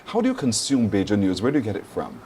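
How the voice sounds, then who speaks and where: A man's voice: lowish voice, huge pitch swings, fast, a little mellow, husky. A man with a Barbadian accent hosting a live TV morning show, chatty and encouraging, with frequent affirmations. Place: TV studio.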